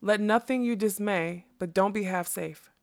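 A woman's voice: mid-range voice, normal speed, natural voice. Casting: female reader as flighty male butterfly for a storybook, theatrical, rambling, songful, whimsical nonsense-spouter. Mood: urgent. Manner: theatrical, rambling, bursting into song. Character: whimsical scatterbrained male butterfly who sings and quotes nonsense. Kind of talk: acted audiobook storytelling.

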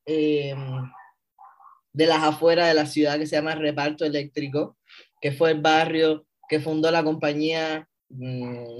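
A man in his thirties with a Cuban accent, a guest on a radio interview, speaking reflectively with many pauses and fillers while he searches for words. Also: on the high side. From Cuba. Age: 30s. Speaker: guest, historian.

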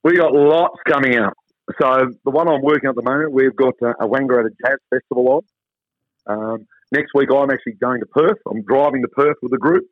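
A hoarse Australian man who runs a travel company, chatting in an interview in an upbeat, rambling way with lots of fillers. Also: mid-range voice, a little fast, no room sound. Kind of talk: casual interview chat. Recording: tinny phone line.

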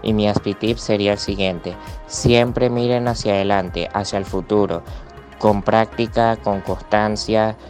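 A young man with a lowish, notably flat voice gives a friendly word of encouragement. Faint music plays under his short recorded message. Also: unhurried. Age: 20s. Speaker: orchestra musician.

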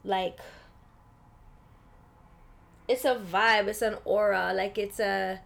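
A woman with a mid-pitched voice talks casually as an interview guest. She explains her points informally, peppered with fillers, and slips into quoted dialogue as she tells stories. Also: fairly even intonation, slow pace, gravelly. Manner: informal, peppered with "like" and "you know". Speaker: interview guest, actress and film industry buyer.

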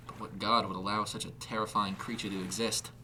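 Guy doing a nervous fisherman in an audiobook, uneasy, bright tense voice. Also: lowish voice, little pitch movement, a little fast, slight background noise.

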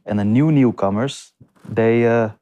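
A man answering interview questions in a halting way, with many restarts and fillers. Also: mid-range voice, lo-fi sound. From came to the Netherlands as a refugee. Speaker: guest. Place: lives in north Amsterdam.

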